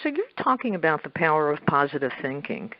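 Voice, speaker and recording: Low-pitched woman interviewer, halting delivery. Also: big pitch swings, no echo, dull audio. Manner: halting, deliberate phrasing with rephrasing. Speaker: interview show host. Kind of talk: interview questioning.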